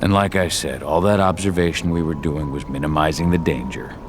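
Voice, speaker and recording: An actor playing a gruff, protective warrior husband and father in a video game, stern and determined. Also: a bass voice, steady tone, a little fast, over music. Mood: calm, reassuring. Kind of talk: video game cutscene acting.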